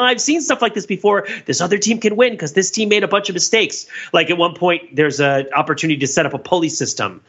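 A man talking casually as a podcast host, explaining things in a rambling, animated way and often correcting himself mid-thought. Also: on the high side, breathy edge, highs cut off. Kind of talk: casual podcast discussion, recounting a TV show.

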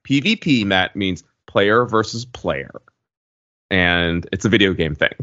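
A man's voice: low-pitched, animated, unhurried, sharp tone, no echo. A man co-hosting a podcast in casual chat, with a playful, wry way of talking. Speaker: podcast co-host. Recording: dull, lo-fi audio.

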